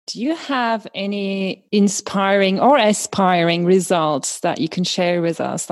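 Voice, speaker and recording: A woman hosting a podcast, soft-spoken, interviewing her former voice coach. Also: lowish voice, dry recording, clean studio sound. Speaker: podcast host.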